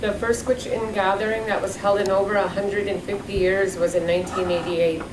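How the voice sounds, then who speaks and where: A woman from Alaska speaks with urgent insistence as a community advocate at a forum. Her voice is mid-pitched and distant, caught in a reverberant hall with steady noise behind it. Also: brisk. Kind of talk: public forum testimony.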